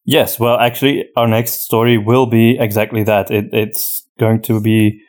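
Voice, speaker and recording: A man's voice: fairly low pitch, level tone, normal speed, a little mellow. Casual man podcaster thinking aloud. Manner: hesitant, thinking aloud with frequent "you know". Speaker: podcast host and audio drama creator. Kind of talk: casual unscripted chat answering listener questions.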